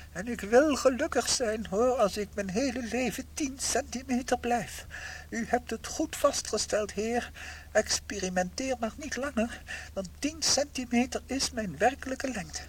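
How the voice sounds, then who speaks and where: An actor playing a tiny, pious, grateful shrunken husband who talks to himself in a radio play. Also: male voice, extremely high pitch, a little slow, breathy edge, exaggerated voice, poor audio. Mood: cheerful contentment. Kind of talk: radio play acting, monologue.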